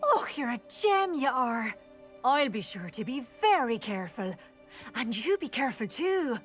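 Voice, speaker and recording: A woman's voice: sits high, sing-song, a little slow, a bit bright, full character voice. Game role: eccentric, fretful village witch, swooping delivery, wavering and theatrical, faint music behind. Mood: grateful, relieved. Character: nervous, superstitious, grateful eccentric village witch. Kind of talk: video game cutscene acting.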